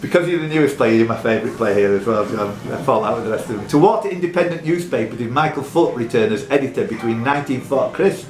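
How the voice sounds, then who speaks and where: A man's voice: medium pitch, lively intonation, fast, hardly any pauses. A man hosting a live pub quiz, reading out questions and trading jokey, teasing banter with the contestants. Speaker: quiz host and question reader. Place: echoey pub room. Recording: echoey room with audience noise. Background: crowd of quiz players.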